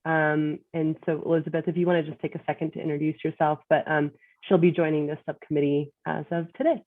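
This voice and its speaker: A woman's voice: sits low, little pitch movement, fast, dry recording. A woman organizer speaking informally in an online meeting, with thin call audio. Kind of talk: informal introduction of a new member. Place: remote video call. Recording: thin phone-like audio, very dry.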